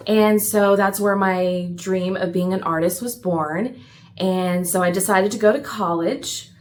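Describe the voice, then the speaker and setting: Woman in her thirties, from Virginia, mid-pitched, easygoing vlog host. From Appalachian Virginia, US. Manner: chatty, easygoing, with light laughs. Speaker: metalsmith and maker. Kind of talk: casual talking-to-camera vlog.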